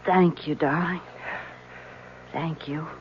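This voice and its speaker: A woman's voice: deep voice, fairly even intonation, takes it slowly. An actress playing a frail, ailing young wife in a radio drama, tender, plaintive and dependent on her husband. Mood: tender, grateful. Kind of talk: old-time radio drama acting. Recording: muffled, noisy radio drama recording.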